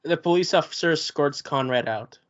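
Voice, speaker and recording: A man reads the stage directions aloud at a table read, in a plain and steady voice. The recording is muffled and lo-fi. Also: on the high side, brisk. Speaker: narrator reading stage directions.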